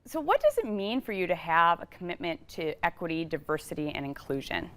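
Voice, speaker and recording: Female television interviewer, voice a little on the low side, presenting and putting questions to a guest. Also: sing-song, a little fast, fairly bright tone. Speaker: TV show host, interviewer. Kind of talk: scripted intro and interview questions.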